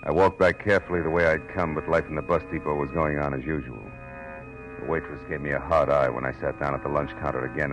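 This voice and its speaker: A hard-boiled private eye with a low voice and a terse, sardonic way of talking. He narrates like a world-weary gumshoe on 1940s radio, with music clearly behind him on the old recording. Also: muffled recording. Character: hard-boiled, wry male private detective narrating his case. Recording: vintage radio drama with music.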